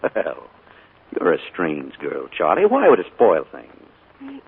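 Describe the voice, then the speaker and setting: An actor playing a charming, secretive middle-aged uncle in a 1940s radio play, genial and hearty, sometimes hushed. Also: male voice, low voice, huge pitch swings, slow, creaky, breathy edge, narrow, tinny audio, exaggerated voice. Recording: scratchy 1940s radio broadcast.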